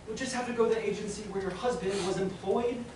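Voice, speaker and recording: A man's voice: high-pitched, quick pace, a little mellow, lightly acted. A man acting a pompous bank manager who is losing patience with a visitor, in a stage play. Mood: stiff, patient. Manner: stuffy, exasperated, prone to outbursts. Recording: roomy hall from far off, faint noise.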